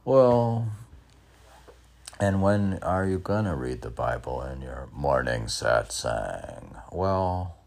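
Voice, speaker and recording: A man in his sixties rambling alone between lines of his own poetry, halting, questioning himself and repeating phrases. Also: rumbling low, sing-song, creaky, a little mellow. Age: 60s. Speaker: poet reading own work and commenting. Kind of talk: rambling solo monologue mixed with poem reading.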